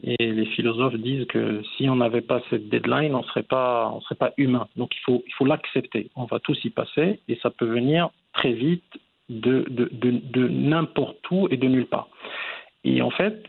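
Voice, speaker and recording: Man, forties, raspy with vocal fry, thoughtful interview guest, measured conversational delivery. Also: mid-range voice, narrow, tinny audio. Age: 40s. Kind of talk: reflective conversational interview answers.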